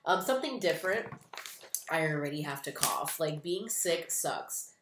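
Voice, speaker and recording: A young woman in her twenties talks in a casual, rambling way, full of "um" and "like". Her voice sits fairly low and sounds stuffy and nasal from a cold. The small room she records in with her webcam adds a noticeable echo. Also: lively intonation, normal speed, noisy background. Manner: chatty, rambling, many "um" and "like" fillers. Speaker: beauty vlogger. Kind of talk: casual unboxing chat.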